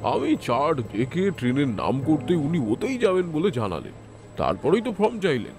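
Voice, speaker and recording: An obliging railway clerk, a man with a fairly high, very lively voice. He recounts details chattily and helpfully in a radio mystery, with music clearly playing behind him. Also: normal speed. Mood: obliging, recounting. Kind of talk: audio drama acting, recounting in dialogue.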